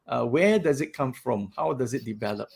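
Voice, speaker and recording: A man hosting a podcast, talking in a loud, animated way and laughing often. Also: mid-range voice, normal speed, vocal fry, noisy background. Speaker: podcast host. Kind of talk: casual interview chat.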